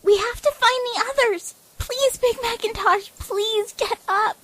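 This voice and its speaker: A woman's voice: very high-pitched, a little slow, pitched above the performer's own voice. A woman voicing a scared, injured young female pegasus pony in an audio drama, whimpering and pleading. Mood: desperate. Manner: whimpering, pleading, hushed at times.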